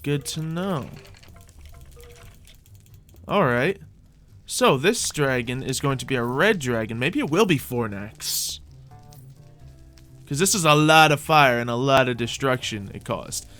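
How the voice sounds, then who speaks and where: Man, mid-pitched voice, casual gaming host, thinking aloud, background music. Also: animated, measured pace, pauses often, fairly bright tone. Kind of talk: casual gameplay commentary.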